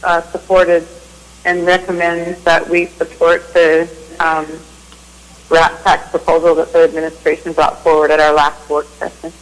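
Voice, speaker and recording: Council member, woman, monotone and measured. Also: sits low, rough recording. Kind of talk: formal meeting remarks.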